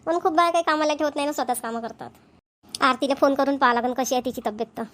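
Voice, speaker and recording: A woman's voice: high voice, quick pace, fairly bright tone. A woman with a Vidarbha Marathi accent voicing a chatty, concerned middle-aged village woman in an amateur cartoon.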